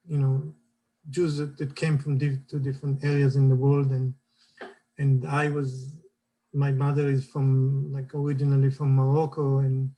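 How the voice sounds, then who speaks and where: Panelist: Israeli-accented man, slow and halting, flat mellow delivery. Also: mid-range voice, poor audio. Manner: hesitant, searching for words. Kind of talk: personal account on a panel.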